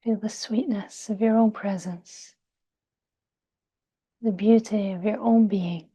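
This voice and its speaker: A woman guiding a meditation in a soft, breathy, near-whispered voice, gentle and soothing, with long pauses. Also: mid-range voice, fairly even intonation. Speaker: meditation guide. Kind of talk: guided meditation.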